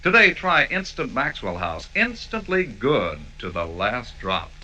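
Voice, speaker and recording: Forceful, bright vintage radio announcer man. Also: mid-range voice, huge pitch swings, measured pace. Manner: polished, emphatic. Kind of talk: 1940s radio announcing and ad copy. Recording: vintage radio broadcast recording.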